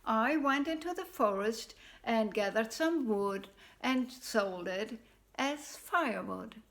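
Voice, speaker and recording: A carefree poor cobbler with an easy, unhurried laugh in his speech, as a woman storyteller puts him on. He sounds untroubled and content, talking in a slow, gentle way with a slightly high voice. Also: animated, exaggerated voice. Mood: easygoing. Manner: laughing, easygoing, unworried. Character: poor cheerful male cobbler, carefree and trusting.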